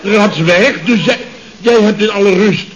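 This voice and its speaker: Exasperated, high-pitched sarcastic boss. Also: male voice, measured pace. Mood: exasperated sarcasm. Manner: blustering, incredulous, heavily sarcastic. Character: exasperated, sarcastic male boss of a building firm. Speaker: comedy actor. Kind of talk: 1970s Dutch radio comedy acting. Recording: muffled, noisy old radio recording.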